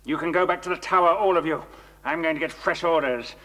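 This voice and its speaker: A menacing kidnapper in a children's audio drama. The voice is high for a man, curt and threatening, and it rings with long reverb. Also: quick pace, pushed and bright. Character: menacing male kidnapper and traitor. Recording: long reverberant hall sound.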